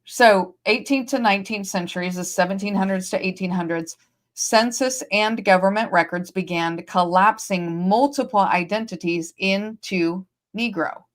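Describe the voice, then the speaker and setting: A woman's voice: medium pitch, moderate pace. A woman hosting a livestream, explaining points emphatically and repeating key phrases. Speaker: host. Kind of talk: livestream discussion. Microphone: streaming setup.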